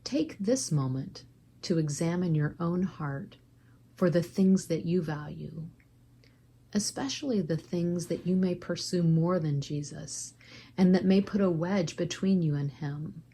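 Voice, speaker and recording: Woman doing guided prayer meditation, lowish voice, gentle and unhurried, noticeable background noise.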